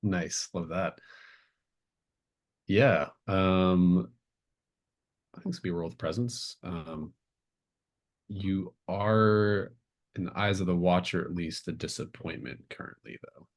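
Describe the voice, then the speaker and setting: A man's voice: sits low, takes it slowly, long pauses. A man acting as game master, casually narrating a tabletop game, encouraging and often thinking aloud.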